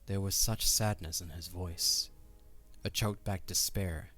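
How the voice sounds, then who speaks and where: A man narrating a scripted story as the narrator of an audio drama, in a measured, solemn way. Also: deep voice, fairly even intonation, over music.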